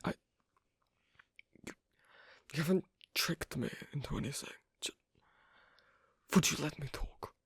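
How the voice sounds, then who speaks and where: A performer voicing a shy, awkward, asocial young werewolf in an intimate ASMR roleplay, speaking softly and haltingly in a breathy, husky near whisper. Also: mid-range voice, no room sound, studio quality. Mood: flustered, earnest. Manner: hesitant, halting, soft-spoken with long pauses.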